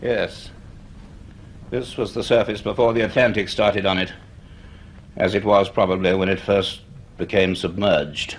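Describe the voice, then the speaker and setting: An actor playing an earnest, commanding British university professor in a radio drama, speaking in a measured, deliberate, authoritative way. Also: male voice, low-pitched, fairly even intonation. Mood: measured, grave. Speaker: actor playing the lead and narrator. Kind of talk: radio drama dialogue and first-person narration.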